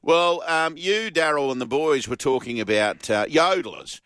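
A man's voice: on the high side, animated, moderate pace, fairly bright tone, clean tone, no echo, studio quality. An Australian man hosting radio, talking conversationally in an easygoing, rambling storytelling way. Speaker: radio host.

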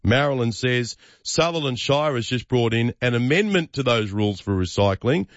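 An Australian man hosting breakfast radio, moving briskly and jokily through listener messages and callers. His mid-pitched voice sits dry and close, a little dull in tone. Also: animated, no room sound. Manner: brisk, joking banter with callers. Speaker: radio host.

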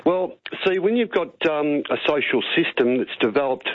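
A man's voice: fairly high pitch, animated, normal speed, no echo. A middle-aged man with an Australian accent phoning a radio show, earnest and opinionated, rambling and matey. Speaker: talkback radio caller. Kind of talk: opinionated phone-in talk. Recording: tinny phone line on radio.

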